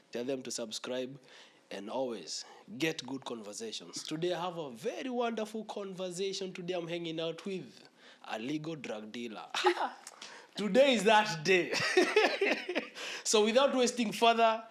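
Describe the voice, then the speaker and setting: A Kenyan man hosts a relaxed podcast chat, dropping Swahili slang into his English. His voice sits a touch high for a man. He opens with hype and then settles into easy, friendly talk. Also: unhurried. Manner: hyped intro, then relaxed and chatty with laughs. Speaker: podcast host.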